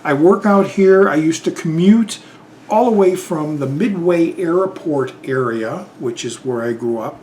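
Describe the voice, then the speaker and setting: A man's voice: on the high side, expressive, a little slow, a little mellow, slight background noise. Rambling middle-aged man. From Chicago, Illinois. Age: 50s. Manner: rambling, hesitant, many fillers and restarts. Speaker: YouTube channel host. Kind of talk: casual tag video monologue.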